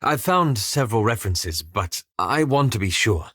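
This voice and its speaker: A man playing a weary, guilt-ridden elf adventurer in a video game, speaking in a measured, formal and articulate way with slight hesitance. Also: lowish voice, very animated, fairly bright tone, breathy edge, no room sound, clean studio sound, heavily acted. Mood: earnest, reflective. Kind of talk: video game character dialogue.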